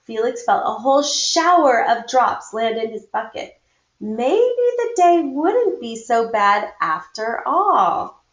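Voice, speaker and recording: High-pitched woman reading a picture book, expressive. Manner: acts out dialogue, pauses to comment to listeners. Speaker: read-aloud storyteller for schoolchildren. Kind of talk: expressive children's picture book read-aloud. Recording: muffled, echoey room recording.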